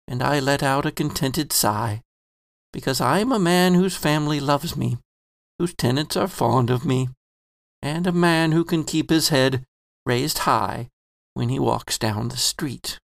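A man voicing a kindly middle-aged landlord, telling his thoughts in a soft, reflective first-person monologue. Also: medium pitch, creaky, dry recording, clean studio sound. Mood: resolute.

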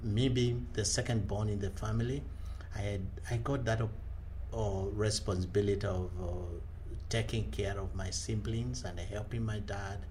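Kenyan man, low voice, hesitant storytelling. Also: steady tone, normal speed, a little mellow, a little hiss or hum, poor audio. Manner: earnest storytelling with frequent "uh" hesitations and restarts. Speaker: guest, leadership trainer. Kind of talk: conversational interview.

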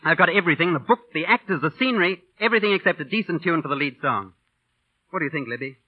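A man playing an excitable, charming and affectionate show composer in an old radio drama. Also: a little high, fast talker. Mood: frustrated. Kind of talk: 1930s-40s radio drama acting. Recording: tinny old radio over music.

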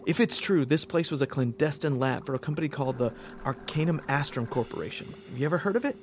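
A man playing an embattled, wry and excitable space fleet lieutenant in an audio drama, talking in a hesitant, urgent mutter. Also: fairly high pitch, quick pace, slight background noise, close to an everyday voice. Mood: intrigued. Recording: loud engine-like ship ambience behind.

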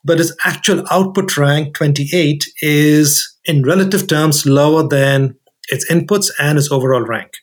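A man's voice: a little high, unhurried. A man speaking as an expert interview guest, explaining things methodically and setting out his points in order.

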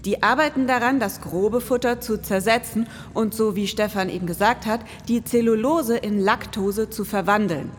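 A woman's voice: mid-range voice, moderate pace, hardly any pauses. A woman in her forties co-hosting a scripted, performative podcast with an explanatory delivery. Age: 40s. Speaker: podcast co-host. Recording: long reverb, music underneath.

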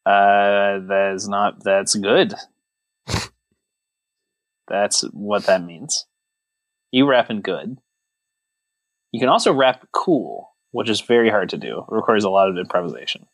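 A man's voice: on the low side, lively intonation, measured pace, long pauses, no echo, low-quality recording. A man co-hosting a podcast, chatting casually about films in a wry, mock-serious way. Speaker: podcast host. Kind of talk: casual joking film chat.

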